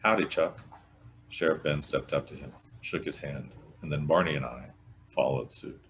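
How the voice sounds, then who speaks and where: A man's voice: a bass voice, fairly even intonation, normal speed, slight background noise. A man reading a mystery novel aloud as a scripted narration, in the manner of a volunteer storyteller. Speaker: volunteer audiobook reader.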